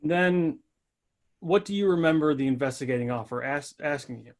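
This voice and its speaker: A man's voice: on the high side, fairly quick, close to an everyday voice. A man reading the part of a confident, playful military lawyer in a screenplay table read. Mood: businesslike.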